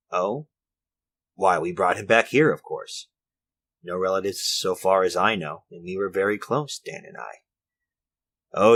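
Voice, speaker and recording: A man playing an uneasy, formal inventor in a retro radio drama, speaking in a halting, protesting, confessional way. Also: low-pitched, sharp tone, husky, heavily acted. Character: uneasy, formal male inventor confessing his story to a listener.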